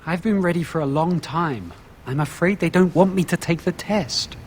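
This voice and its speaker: An actor playing a friendly but anxious and insecure young apprentice mage in a video game, earnest and worried. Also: male voice, fairly high pitch, normal speed, natural voice. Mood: resigned, uneasy. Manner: earnest, worried, slightly wounded. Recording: game dialogue over faint ambient noise.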